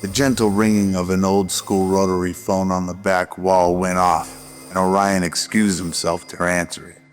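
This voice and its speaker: A man's voice: deep voice, little pitch movement, normal speed. A man narrating a scripted noir radio drama. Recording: music behind the voice.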